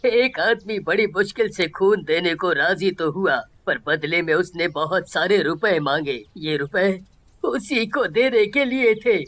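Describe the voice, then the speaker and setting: A woman's voice: fairly low pitch, a little slow, fairly bright tone, pitched below the performer's own voice. A woman voicing a grave, heartfelt shop owner, a worried father, in a story. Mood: distressed. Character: distressed, grateful shop-owner father of an injured son.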